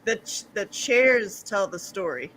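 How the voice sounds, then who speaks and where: Animated, bright-voiced woman host. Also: mid-range voice, measured pace, poor audio. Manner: playful, loud, laughs often. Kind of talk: casual chat.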